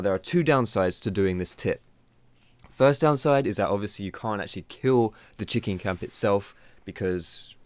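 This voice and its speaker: A man with a somewhat hoarse, croaky voice walks through a game tip in a loose, chatty way, often doubling back to fix his words. His voice sits fairly low, and it is recorded dry and close, with no room around it. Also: no echo. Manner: chatty, rambling with self-corrections. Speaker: game tutorial host. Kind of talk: casual gameplay tutorial commentary.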